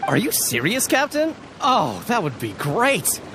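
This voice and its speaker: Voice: mid-range voice, wildly swooping pitch, unhurried. A voice actor playing a sheepish young village man who stammers and dodges blame. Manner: evasive, stammering, shrugging. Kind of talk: game voice acting. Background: game music.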